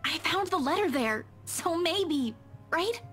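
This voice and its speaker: Anxious young woman, really high voice, pleading, game drama, music behind. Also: expressive, slow pace, a bit bright, exaggerated voice. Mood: hesitant, uncertain. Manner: hesitant, pleading. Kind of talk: dramatic visual novel voice acting.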